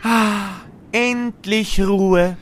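A man's voice: sits high, steady tone, drawn-out words, soft background music. A man playing a friendly, naive snowman in a fairy-tale radio play, with earnest, formal phrasing. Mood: content.

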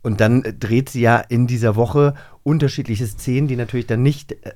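A man co-hosting a radio drama podcast, telling anecdotes in a relaxed conversational way with many fillers. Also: medium pitch, normal speed. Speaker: podcast co-host. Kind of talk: casual conversational chat. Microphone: studio microphone.

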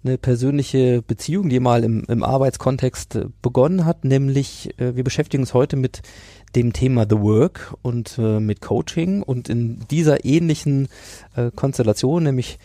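A man in his forties speaks with a mellow, mid-pitched voice in a close, dry recording. He hosts a podcast interview conversationally, with halting phrasing that circles toward its questions. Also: no room sound. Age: 40s.

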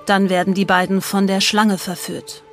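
Lady narrating a story from a script, normal pitch, bit of music behind. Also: little pitch movement, normal speed.

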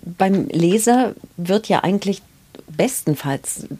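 A woman hosting a literary interview, putting prepared questions in articulate, measured, literary phrasing. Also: mid-range voice, animated, mellow, husky. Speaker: interviewer, host.

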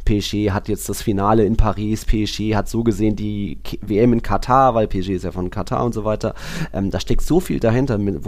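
A man with a fairly low voice hosts a casual sports podcast. He talks like an excitable fan, rambling from one thought into the next in loose, conversational German. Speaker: football podcast host. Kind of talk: casual football discussion.

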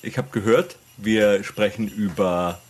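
A man's voice: on the low side, fairly even intonation, slow, breathy edge. A man joining a podcast as a guest over a video call, with a dry, teasing manner. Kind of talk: casual chat.

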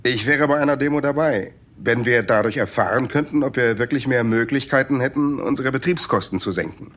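Good-natured older boss played by an actor. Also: male voice, mid-range voice, fairly quick, fairly bright tone, close to an everyday voice. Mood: measured. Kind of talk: comic audio drama acting.

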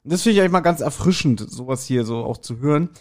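A man's voice: on the high side, lively intonation, fast, darkish tone. A man co-hosting a podcast, chatting casually as he reviews audio dramas and acts out short quotes. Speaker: podcast co-host.

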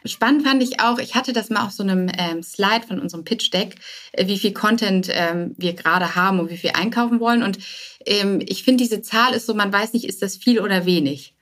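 A woman with an average-pitched voice speaks as a guest in an interview. She sounds informal and conversational, feeling her way through answers and dropping in English business terms. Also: a little fast, fairly bright tone. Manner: informal, hesitant, sprinkles in English business words. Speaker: interview guest, start-up co-founder.

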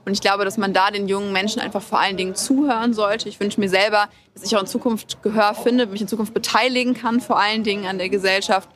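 This eighteen-year-old woman talks quickly and fluently, like someone used to speaking for a group. She answers interview questions as a student representative, in full and composed sentences. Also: medium pitch, steady tone, fairly bright tone. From Germany. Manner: articulate, quick, speechlike. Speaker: deputy city student representative. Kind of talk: event interview.

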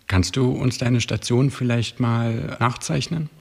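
A male podcast host asking polite, measured interview questions. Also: medium pitch, fairly even intonation, vocal fry, husky, in a large hall.

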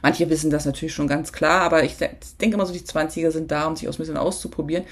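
A woman's voice: deep voice, fairly even intonation, very fast. Chatty woman hosting a solo podcast. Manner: chatty and reflective, talks straight to the listener; frequent filler sounds and self-corrections. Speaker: podcast host. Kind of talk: casual solo podcast monologue.

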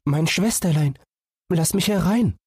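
A man's voice: fairly high pitch, measured pace, darkish tone, husky, no echo, studio quality, pitched above the performer's own voice. A man voicing an eager, restless young enchanted fawn in a fairy tale, pleading and impatient. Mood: calling softly.

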